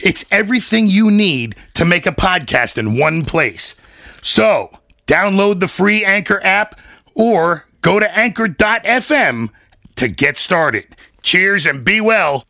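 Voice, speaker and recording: A man's voice: high-pitched, lively intonation, unhurried, gravelly, bright, forceful tone, dry recording, clean studio sound. A man host reading a sponsor ad in a jokey, upbeat way. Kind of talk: read sponsor spot.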